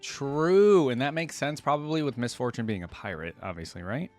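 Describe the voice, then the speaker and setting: A man's voice: mid-range voice, lively intonation, normal speed, a little mellow, faint music behind. A man giving casual reaction commentary as the host of a video.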